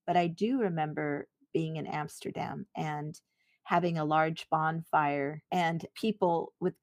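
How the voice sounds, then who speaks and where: A woman's voice: lowish voice, darkish tone, dry recording. A middle-aged woman, a podcast guest, telling her childhood story in an earnest, reflective way. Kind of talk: personal interview recollection.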